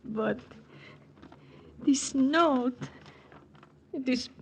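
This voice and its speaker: An actress playing a proud, anguished young French aristocrat with a French accent in a 1940s radio drama, imperious and emotional. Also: female voice, sits high, extremely slow, exaggerated voice. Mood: stunned, icy. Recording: noisy old radio recording.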